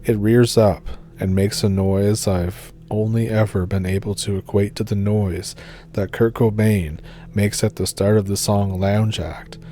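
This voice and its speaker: A young man from New Brunswick with a Maritime Canadian accent, calmly reading encounter stories as a channel host. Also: fairly low pitch.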